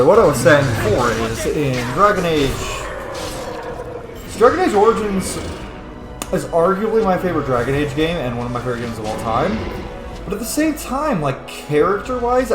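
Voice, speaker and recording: A man giving casual, sweary gameplay commentary over a video game. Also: fairly high pitch. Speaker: gaming video host. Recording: roomy echo, game music behind. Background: video game music.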